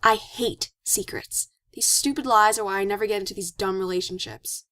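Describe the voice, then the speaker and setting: A woman's voice: medium pitch, steady tone, moderate pace, a bit bright, natural voice. Woman acting a stubborn, indignant teenage girl. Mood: exasperated. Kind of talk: audiobook drama acting. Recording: faint background noise.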